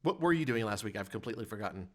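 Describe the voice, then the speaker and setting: A man hosting a podcast in casual comedic chat, putting questions to his guests in a deadpan way. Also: fairly low pitch, racing. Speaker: podcast host.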